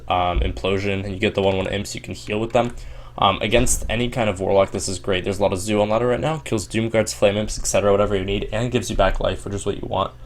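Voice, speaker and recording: A young man with a slightly sore voice, casually walking through a card game deck with lots of ums and restarts. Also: on the low side. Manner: quick, filler-heavy delivery with frequent ums and restarts. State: sore voice from long streaming. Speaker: Hearthstone player explaining a deck.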